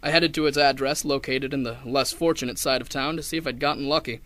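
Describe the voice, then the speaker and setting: A young man playing a hard-boiled, wry detective who narrates a 1930s noir radio drama in a deadpan way. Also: medium pitch, fast, natural voice. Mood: wry narration. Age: young adult. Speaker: narrator and lead actor.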